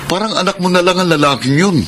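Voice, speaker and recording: A man's voice: high-pitched, quick pace, fairly bright tone, slight background noise. A man with a Filipino accent acting a protective, disapproving middle-aged older brother in a radio drama.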